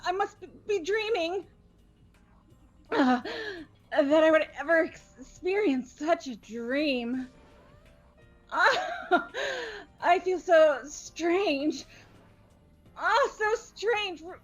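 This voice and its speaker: Casting: flustered, obsessive teenage girl for a game dub, very high-pitched stammer, defensive and fawning, faint music behind. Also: animated, extremely slow, pauses often, fairly bright tone, heavily acted, poor audio. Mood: overwhelmed, dazed. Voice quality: stuttering. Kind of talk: voiced visual novel game playthrough.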